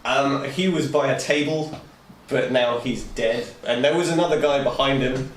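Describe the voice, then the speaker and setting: A man's voice: medium pitch, moderate pace. A man playing a tabletop game, talking casually in a playful, goofy way. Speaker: player. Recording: echoey room with crowd noise.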